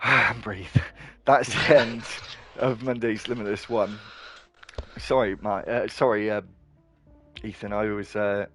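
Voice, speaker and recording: A man giving live play-by-play commentary on an online racing league, excitable and quick to correct himself. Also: on the low side, soft background music.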